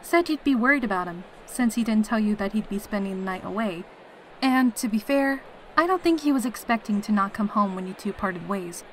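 A woman's voice: mid-range voice, expressive, a little fast, slight background noise, lightly acted, poor audio. A woman voicing a carefree, teasing female pegasus soldier in a fan audio drama. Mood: casual, blunt. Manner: breezy, teasing, cheerful.

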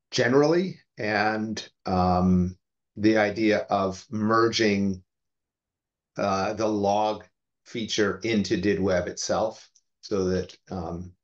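A man giving an informal technical update on a video call in a measured, halting way. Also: on the low side. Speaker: meeting participant giving updates. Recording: dull video call audio.

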